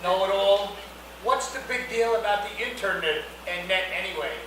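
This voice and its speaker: A man's voice: high voice, moderate pace, lightly acted. A man acting a grumpy but affectionate elderly husband in a comedy screenplay reading. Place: indoor reading before an audience, far mic. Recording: very echoey room, distant mic, faint noise.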